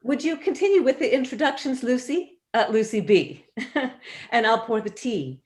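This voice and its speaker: A woman's voice: fairly high pitch, expressive, moderate pace, lightly acted. A woman playing a gracious, fussy suburban hostess with genteel manners in a staged play reading. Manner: polite, genteel.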